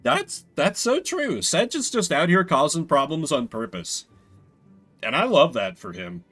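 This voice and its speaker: A man giving live game commentary over faint music. His voice sits a little high and swings wildly in pitch as he reacts in an animated, profane way. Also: a little slow. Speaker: game streamer. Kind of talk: excited gaming livestream commentary.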